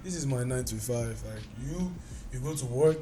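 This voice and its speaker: A man's voice: medium pitch, expressive, normal speed, music in the background. A man with a heavy Nigerian accent, a guest musician talking casually on a podcast, loose and full of filler words. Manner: laid-back, lots of "like" fillers.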